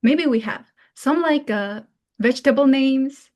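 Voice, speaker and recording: A young woman from Mongolia with a fairly high voice, chatting as a guest speaker. Her talk is informal and casual, sprinkled with "like" as she answers questions. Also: unhurried, darkish tone. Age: young adult. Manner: informal, frequent "like".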